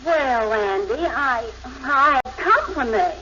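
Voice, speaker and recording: A fickle young girlfriend speaks high with big swoops of pitch. It is gushing fifties radio comedy acting on a noisy vintage recording. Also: animated, takes it slowly, dull audio. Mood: awkward.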